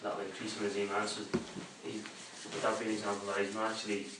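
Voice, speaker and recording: A man's voice: fairly low pitch, completely flat delivery, fast talker. Distant male student, very echoey and noisy. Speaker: student asking questions. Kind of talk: hesitant spoken question. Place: indoor lesson, far from the microphone. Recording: distant, very echoey, loud noise.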